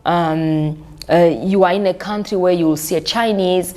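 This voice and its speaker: Woman in her 30s, slightly low pitch, chatty interview guest who circles back and repeats herself as she tells her story. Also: fairly even intonation, normal speed. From Burundi. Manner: warm storytelling with frequent restarts and repeated words. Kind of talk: casual conversational interview.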